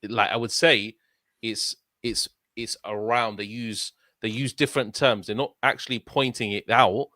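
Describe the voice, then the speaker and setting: A man's voice: on the low side, fairly even intonation, normal speed, sharp tone, no room sound, clean studio sound. A young man with a London accent, co-hosting a casual football podcast and talking earnestly, often tagging "yeah?" onto his points. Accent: London English. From London, England. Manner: earnest, rambling, repeats "yeah?" and restarts. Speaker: podcast co-host.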